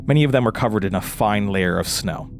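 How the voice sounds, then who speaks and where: An actor playing a haunted, weary, wry man who confides his story haltingly in a horror audio drama. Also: lowish voice, lively intonation, vocal fry, soft background music. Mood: brooding, tense. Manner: hesitant, confessional, halting. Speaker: lead character and narrator of a horror audio drama. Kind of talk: horror audio drama acting, narration and intimate dialogue.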